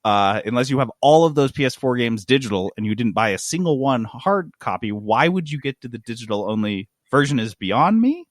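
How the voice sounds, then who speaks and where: A man hosting a casual gaming news discussion, talking in an informal, slangy and animated way. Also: medium pitch, brisk, hardly any pauses, dry recording, clean studio sound. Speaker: podcast host.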